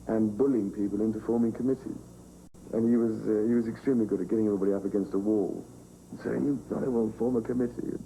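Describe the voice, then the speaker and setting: A man's voice: on the low side, dark tone, a little hiss or hum, low-quality recording. A man telling wry anecdotes in a documentary interview.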